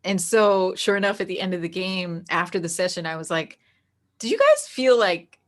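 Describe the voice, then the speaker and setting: Female interview guest, game-master type: slightly low voice, animated casual delivery, laughs easily. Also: normal speed. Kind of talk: casual interview chat, telling anecdotes.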